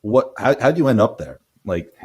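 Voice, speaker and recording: A man hosting an interview, asking questions in a relaxed, talkative way. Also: mid-range voice, moderate pace, slightly rough. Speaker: interview host. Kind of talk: conversational interviewing.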